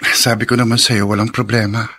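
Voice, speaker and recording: Husky, guarded young husband. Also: male voice, fairly low pitch, flat delivery, fairly quick, sharp tone, exaggerated voice. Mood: evasive, sullen. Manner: reticent, guarded. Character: troubled, evasive young husband avoiding conflict. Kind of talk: radio drama acting.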